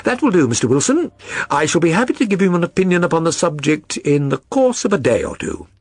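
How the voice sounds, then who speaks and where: A self-assured gentleman detective, acted by a male audiobook reader, speaks with incisive authority. His questions are precise and probing, in a slightly high, husky voice that rises and falls dramatically. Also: very animated, fast, no echo. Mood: assured, decisive. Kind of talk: audiobook character reading.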